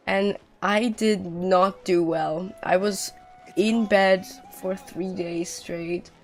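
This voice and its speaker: A woman's voice: mid-range voice, steady tone, slow pace. A woman livestreamer chatting casually and rambling over a game, audibly recovering from being sick. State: recovering from sickness, coughing, sore throat. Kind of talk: casual game stream chat. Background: music.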